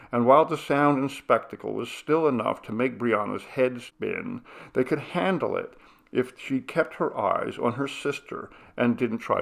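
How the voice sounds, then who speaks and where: Gravelly man reading a story. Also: on the low side, a bit bright. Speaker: audiobook reader. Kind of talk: narrated short fiction reading.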